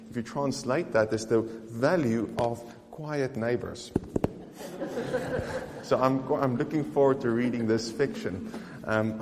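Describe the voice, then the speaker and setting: A man's voice: mid-range voice, a little mellow, big-room sound, a little hiss or hum. Eager man. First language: Afrikaans. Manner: eager, chatty, with small restarts. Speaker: vlogger. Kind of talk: casual chat showing gifts.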